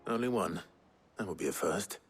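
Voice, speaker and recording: Very deep, solemn game hero. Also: male voice, lively intonation, normal speed, slightly rough. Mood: solemn, resolute. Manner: terse, solemn. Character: earnest, resolute fantasy hero, grim but loyal. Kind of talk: video game cutscene acting. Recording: prominent background game music.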